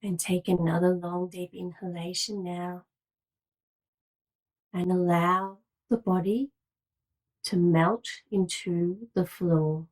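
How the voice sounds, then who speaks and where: A woman leading a guided relaxation meditation as a yoga nidra guide, giving calm, measured, soothing instructions with long pauses. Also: on the low side, poor audio.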